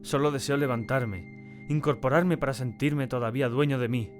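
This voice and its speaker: A man acting a tormented, terrified diarist in a dramatic first-person audiobook narration, with a solemn and deliberate, theatrical delivery. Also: mid-range voice, faint music behind, lightly acted. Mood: anguished, subdued. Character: tormented, terrified man confiding in his diary.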